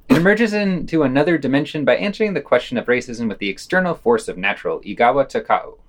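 A man hosting a podcast, reading a scripted news digest with quotes and his own commentary. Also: medium pitch.

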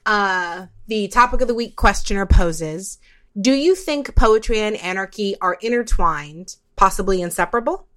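Mid-pitched woman interviewing casually. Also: moderate pace, fairly bright tone. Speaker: podcast interviewer.

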